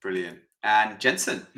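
A man co-hosting a podcast in a relaxed, chatty way, with frequent "uh"s. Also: on the low side, roomy sound. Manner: relaxed, lots of fillers. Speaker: podcast co-host. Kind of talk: casual podcast chat.

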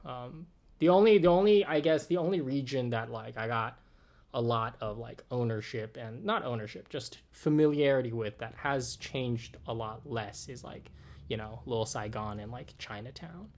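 A man's voice: medium pitch, moderate pace, a little mellow. A man in his twenties with a Californian American accent, chatting casually in a rambling way, saying "like" often and swearing now and then. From Oakland, California, USA. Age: 20s. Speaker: podcast guest. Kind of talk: casual conversation.

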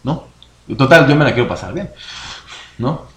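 A young man with a Mexican Spanish accent telling an anecdote in a relaxed podcast chat, imitating other people's lines. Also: medium pitch, moderate pace. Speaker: podcast co-host. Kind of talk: casual storytelling chat. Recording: echoey room, faint noise.

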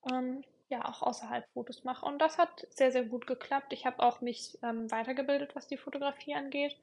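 A woman's voice: high-pitched, vocal fry, a little hiss or hum. A young woman hosting her own podcast, chatting casually and reflectively, with many fillers and repeated words for emphasis. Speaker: podcast host.

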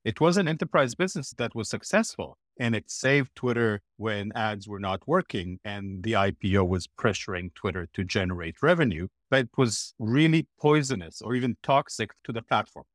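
A middle-aged man from Israel, a podcast guest telling a long story in a blunt, animated way. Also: fairly low pitch, normal speed, hardly any pauses, no room sound, clean studio sound. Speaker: podcast guest, former platform executive. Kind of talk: interview answer.

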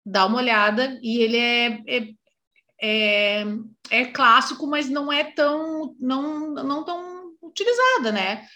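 A woman's voice: sits high, lively intonation, measured pace, sharp tone. A woman interviewing in a casual chat, friendly and encouraging, often murmuring agreement. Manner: friendly, encouraging, frequent backchannel "uhum". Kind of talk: casual conversation.

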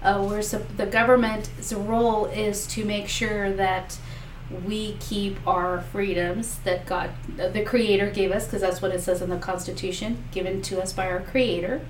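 A woman's voice: medium pitch, steady tone, moderate pace. A woman whose first language is Spanish, answering questions as a political candidate, earnest and full of fillers and restarts. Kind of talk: interview answers. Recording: echoey room, mic far off, faint noise.

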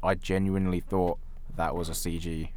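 Low-voiced man chatting about films. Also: little pitch movement, normal speed, a little mellow. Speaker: podcast co-host. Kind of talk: casual film discussion chat.

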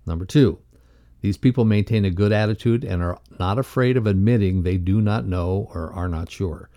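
Man, podcast co-host, low pitch, very mellow dark tone, scripted leadership reading. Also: moderate pace.

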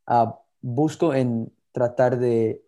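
A young man co-hosting a podcast, from Ecuador by birth and raised in California. His voice is mellow and relaxed. He talks in an earnest, steady way, sometimes restarting a word. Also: mid-range voice, very slow. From born in Ecuador, raised in California. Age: 20s. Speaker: podcast co-host. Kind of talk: scripted podcast intro and casual chat.

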